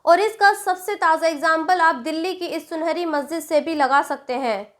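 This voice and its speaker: A woman's voice: extremely high pitch, moderate pace. A woman presenting scripted political commentary, explaining things directly to the viewer. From India.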